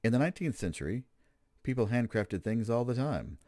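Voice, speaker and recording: A man's voice: medium pitch, animated, brisk, darkish tone, no echo, studio quality. A man hosting a radio play program, reading scripted introductions in a theatrical, old-fashioned announcer's manner.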